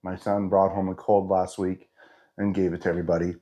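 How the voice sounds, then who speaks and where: A man's voice: deep voice, little pitch movement, brisk, dark tone, dull audio. A man in his fifties with a head cold, his voice stuffed up and nasal, chatting casually in a fitness update vlog with lots of restarts. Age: 50s. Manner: chatty and rambling, with frequent restarts and "you know". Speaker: fitness vlogger.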